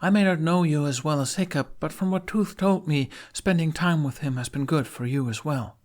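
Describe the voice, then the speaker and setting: A man's voice: a little high, normal speed, pitched above the performer's own voice, natural voice. A man voicing an earnest, stubborn young female knight with an emphatic, imploring delivery in an audio story. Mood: determined, pleading.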